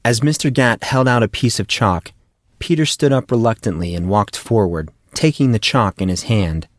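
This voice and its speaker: A man with a fairly low voice narrates a fantasy audiobook. The recording is dry and close, with very little room in it, and he reads prepared prose as a storyteller. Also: normal speed, dry recording. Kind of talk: scripted fiction narration.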